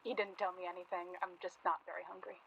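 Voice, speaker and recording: A woman's voice: fairly low pitch, little pitch movement, fast, creaky, a bit bright, highs cut off, a little hiss or hum. A woman playing a guarded, polite young journalist in a naturalistic radio drama, speaking with reserve and some hesitation. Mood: reserved. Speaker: actor in a radio play.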